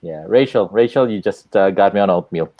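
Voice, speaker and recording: A co-host joking with the guest and asking playful questions on a video call. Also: male voice, on the low side, a little fast, no room sound, lo-fi sound. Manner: jokey, playful banter. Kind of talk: casual interview chat.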